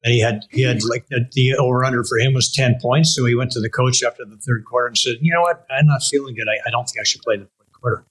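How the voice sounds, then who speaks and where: A man hosting a casual interview, talking informally in halting phrases. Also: medium pitch, fairly bright tone, rough recording. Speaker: interview host.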